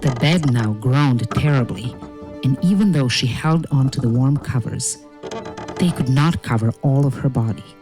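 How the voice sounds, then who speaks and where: A woman narrating a scripted fairy tale in a measured, deliberate way. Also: a bass voice, mellow, soft background music.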